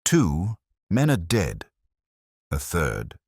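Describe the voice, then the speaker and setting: Heavyset master detective for an audio whodunit, played by a male narrator: very deep, commanding, deliberate, dry close sound. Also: wildly swooping pitch, a little mellow, slightly rough, no room sound, exaggerated voice. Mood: solemn, accusing. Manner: grave, pompous, deliberate. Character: ponderous, commanding, heavyset older genius detective.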